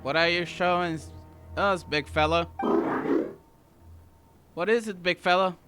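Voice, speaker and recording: A man's voice: sits high, steady tone, a little slow, over loud music. A man giving casual, chatty playthrough commentary as a gaming host, with playful asides.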